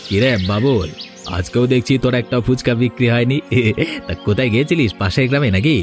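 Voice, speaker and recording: A man's voice: medium pitch, brisk, music in the background, lightly acted. A man voicing a scheming village council bully, mocking and threatening, in a cartoon. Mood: gloating, mocking. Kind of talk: Bengali moral-story cartoon dubbing.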